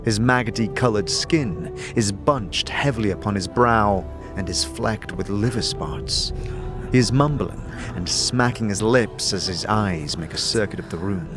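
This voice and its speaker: Low-voiced man narrating fantasy over music. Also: a little slow. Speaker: narrator and protagonist of fantasy audio drama. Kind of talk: dramatic scripted second-person narration.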